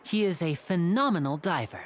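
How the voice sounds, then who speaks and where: A woman's voice: on the low side, lively intonation, moderate pace, a little hiss or hum, close to an everyday voice. A woman playing a poised, affectionate young magician and older sibling in a video game, polite and theatrical. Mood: welcoming. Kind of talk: English video game voice acting.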